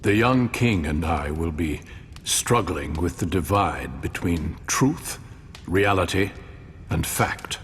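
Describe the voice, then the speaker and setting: An actor playing a grave, weary mortal ruler in a game cutscene, speaking in measured, formal, deliberate phrases. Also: male voice, expressive, fairly bright tone, full character voice. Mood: solemn, earnest. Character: weary mortal male ruler, grave, idealistic yet pragmatic. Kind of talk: dramatic game cutscene acting. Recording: long hall reverb, low rumble.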